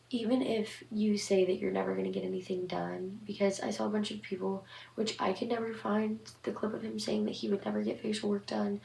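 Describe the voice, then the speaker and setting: A young woman with a flat, monotone delivery and a gravelly edge to her voice, rambling on with lots of fillers as she hosts her scary story channel. She sits back from the mic in an echoey room. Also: mid-range voice, far from the mic. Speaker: horror story channel host. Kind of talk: casual vlog commentary. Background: occasional passing train.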